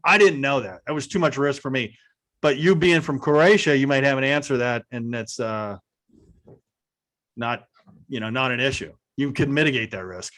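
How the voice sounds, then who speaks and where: A man's voice: mid-range voice, a bit bright. A man answering questions as host in a casual, conversational way, explaining things through anecdotes. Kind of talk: casual question-and-answer talk on a video call.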